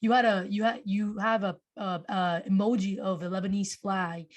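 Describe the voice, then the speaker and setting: A woman from Venezuela hosting a podcast, reading an introduction and then chatting with an animated, excited manner. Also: moderate pace. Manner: animated, rising pitch when excited. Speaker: podcast host. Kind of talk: scripted narration and interview.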